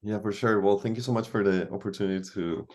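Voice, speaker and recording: Deep-voiced man from Costa Rica. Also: fast, a little mellow. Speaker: documentary director. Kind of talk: video call Q&A. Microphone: video call.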